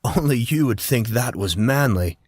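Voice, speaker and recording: A man's voice: medium pitch, expressive, normal speed, no echo, studio quality, lightly acted. Man doing a brash, teasing teenage boy. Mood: blunt teasing. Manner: slangy, mocking, blunt.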